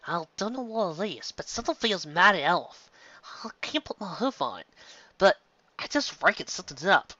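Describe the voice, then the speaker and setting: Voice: mid-range voice, very animated, normal speed, sharp tone, dry recording, lo-fi sound, pitched above the performer's own voice. Narrator doing a folksy farm mare, subdued. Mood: uneasy. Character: folksy, hard-working female farm pony, uneasy.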